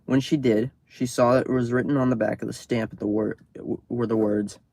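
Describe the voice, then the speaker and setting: A man reading horror stories on a live stream, outdoors at night, casual and tripping over words, his voice going hoarse. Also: mid-range voice, fairly even intonation, a little fast, dark tone, no echo. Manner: swears freely, stumbles over words. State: losing his voice.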